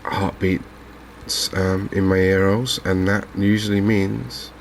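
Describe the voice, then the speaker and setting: A soft-spoken man giving unhurried ASMR commentary on a video game. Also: deep voice, level tone, creaky, slight background noise. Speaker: gaming video host.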